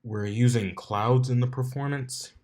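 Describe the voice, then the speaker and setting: A man voicing a sarcastic, put-upon male pegasus stallion with a deadpan delivery in a story reading. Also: medium pitch, unhurried, lightly acted.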